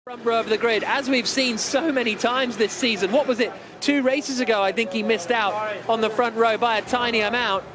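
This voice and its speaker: A man giving live motor racing commentary with an excited, urgent play-by-play delivery. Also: extremely high pitch, a little fast, few pauses, a crowd in the background.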